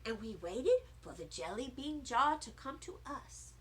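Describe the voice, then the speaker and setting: A woman reading a children's story aloud in an expressive, animated and deliberate way. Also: a little high. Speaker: storybook reader.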